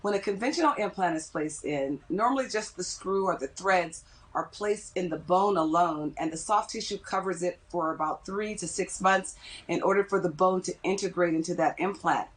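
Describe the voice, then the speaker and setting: Lower-pitched woman explaining as an expert. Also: normal speed, poor audio. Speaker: dentist interviewed as expert guest. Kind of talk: explanatory TV interview.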